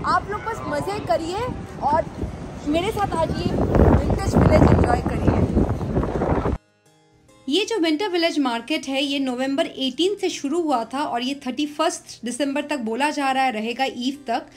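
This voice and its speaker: A woman's voice: high voice, a little slow, poor audio. A middle-aged woman with an Indian accent chatting enthusiastically as a travel vlogger, switching between two languages. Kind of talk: casual vlog chat mixing Hindi and English. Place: outdoor city market street. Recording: music and bustling outdoor crowd behind.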